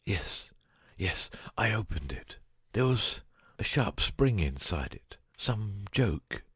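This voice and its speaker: A man plays a cunning detective in an audiobook drama. The voice is low and husky, with lively swings in pitch, recorded close and dry. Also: slow, no room sound, exaggerated voice. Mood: weak, dazed. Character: cunning male detective, first feigning a dying illness, later brisk.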